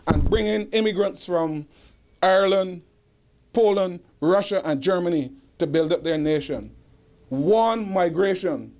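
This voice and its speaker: A man with a Barbadian accent giving a history lecture to an audience, emphatic and rhetorical, pausing to search for dates and tagging points with "huh?". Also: sits high, a little mellow. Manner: rhetorical, adds "huh?" tag questions. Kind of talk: lecture answering audience questions. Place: indoor lecture hall.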